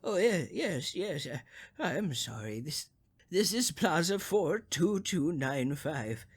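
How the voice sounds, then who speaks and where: A man's voice: a little high, animated, takes it slowly, husky, full character voice. An actor playing a crotchety, panicky old invalid man in an old radio thriller, stammering and fretful in a melodramatic way. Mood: shaken, stunned. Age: older.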